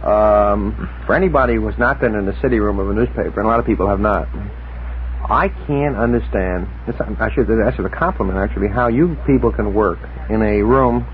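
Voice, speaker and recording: Fast-talking male radio host, vintage sound. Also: fairly low pitch. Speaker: radio talk show host. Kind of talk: casual unscripted interviewing. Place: radio studio. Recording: noisy tinny 1960s radio broadcast recording.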